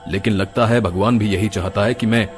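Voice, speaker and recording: A man playing the stern, righteous, caring ghost of a dead doctor in an animated moral story, speaking in an earnest, scolding way. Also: lowish voice, a little fast, lightly acted. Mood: earnest, calm. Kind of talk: animated Hindi moral horror story acting. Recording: music behind the voice.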